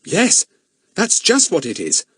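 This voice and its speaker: A man's voice: high-pitched, wildly swooping pitch, slow, a bit bright, slightly rough, no room sound, clean studio sound, voice pushed up, full character voice. A man voicing a teenage boy who talks in a wry, matter-of-fact way.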